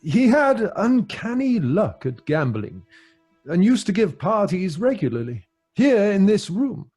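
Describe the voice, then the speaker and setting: Middle-aged British father, slightly husky, fairly high, genial, dramatic radio play storyteller, music behind. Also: sing-song, unhurried, a little mellow, exaggerated voice. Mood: grave storytelling. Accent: British English. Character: genial middle-aged English father relishing a grim ghost legend. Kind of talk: old-fashioned radio drama acting.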